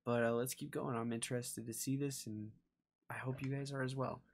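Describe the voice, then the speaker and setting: A man's voice: medium pitch, flat delivery, a little fast. A young man with a mid-range voice gives casual reaction commentary. He talks informally, as a host chatting to viewers. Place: small indoor room.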